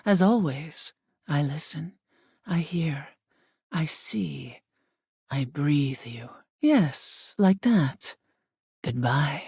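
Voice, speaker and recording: A woman voicing an ancient, aloof female spirit of air in a video game, with a husky, breathy voice that often sinks to a whisper and a solemn, formal delivery. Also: low-pitched, expressive, extremely slow, pauses often, mellow, no room sound, studio quality, full character voice. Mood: hushed, sensual. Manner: languid, imperious, solemn, formal diction. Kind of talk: video game character acting.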